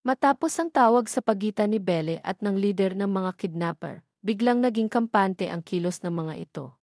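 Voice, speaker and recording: A woman's voice: medium pitch, a little mellow, dry recording, clean studio sound. A woman with a Filipino accent narrating a scripted dramatic story.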